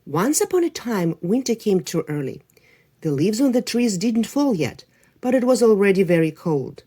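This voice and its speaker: A woman reading a folk tale aloud for children, talking in an animated, expressive way. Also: medium pitch, normal speed, breathy edge. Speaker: storyteller.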